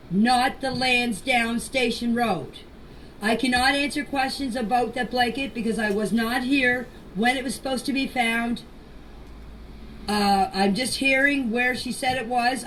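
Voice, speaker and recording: Distant, high-pitched woman speaking slowly. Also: a bit bright. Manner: deliberate, halting delivery in short phrases. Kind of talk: informal spoken voice message statement. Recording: distant noisy amateur voice clips.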